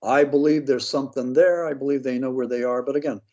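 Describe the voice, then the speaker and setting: A man's voice: medium pitch, fairly even intonation, fast talker, darkish tone. Blunt American man, dull lo-fi sound. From Pennsylvania, United States. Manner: blunt, skeptical, slangy. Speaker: interview guest, paranormal author. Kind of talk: casual opinionated interview talk.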